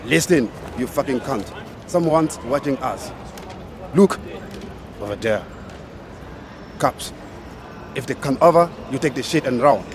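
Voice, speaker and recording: An actor playing a ruthless, foul-mouthed drug dealer who barks commands aggressively in an audio drama. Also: male voice, medium pitch, animated, unhurried, pauses often. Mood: tense, threatening. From Africa. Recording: noisy outdoor street ambience.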